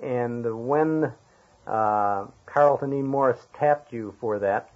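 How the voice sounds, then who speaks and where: An American man working as an interviewer, asking questions conversationally and haltingly as he searches for words. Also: mid-range voice. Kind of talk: conversational radio history interview. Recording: dull, lo-fi interview tape.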